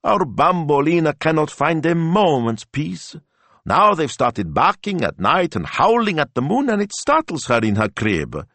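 A man's voice: a little high, very animated, measured pace, fairly bright tone, dry recording, voice pushed up, exaggerated voice. A man voicing a fretful male dog owner who pleads anxiously for help. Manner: anxious, pleading, hand-wringing.

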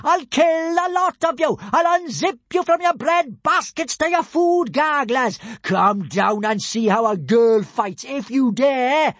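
A man voicing a fierce, fearless little Viking girl warrior in an audiobook, yelling defiantly. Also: extremely high pitch, expressive, slow pace, few pauses, no echo, pitched above the performer's own voice, full character voice. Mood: furious defiance. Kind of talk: audiobook character acting.

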